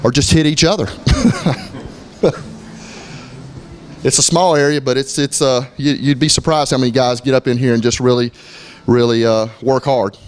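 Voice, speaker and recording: A man's voice: medium pitch, moderate pace, long pauses, slight background noise. Middle-aged man giving a gym tour. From Florida. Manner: relaxed, with frequent fillers and restarts. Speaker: gym owner. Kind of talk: walkthrough tour, casual narration. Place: gym indoors, moving through rooms.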